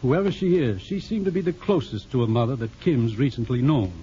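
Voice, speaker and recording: Casting: man playing thoughtful physician, mellow and expressive, old radio play, muffled hissy recording. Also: medium pitch, fairly quick, natural voice. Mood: earnest, reassuring. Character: kindly, tactful physician, earnest and thoughtful. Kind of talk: 1950s radio drama acting.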